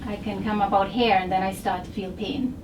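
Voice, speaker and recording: A woman from Barbados with a Barbadian accent, speaking as a patient recounting her medical history in halting short phrases. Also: medium pitch, steady tone. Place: indoor chiropractic clinic. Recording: echoey noisy room, distant mic.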